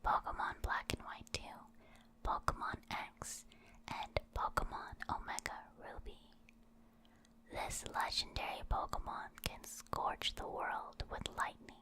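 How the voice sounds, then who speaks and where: A woman reading short encyclopedia entries as an ASMR creator, in a soft, soothing and unhurried manner. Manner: soft, unhurried, soothing delivery with repeated words.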